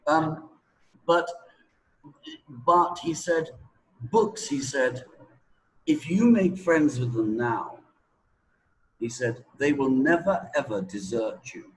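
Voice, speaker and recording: A middle-aged man talking anecdotally as an English teacher, deliberate and emphatic, with dramatic pauses and repetition. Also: medium pitch, low-quality recording. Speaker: English teacher being interviewed. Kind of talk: anecdotal spoken talk.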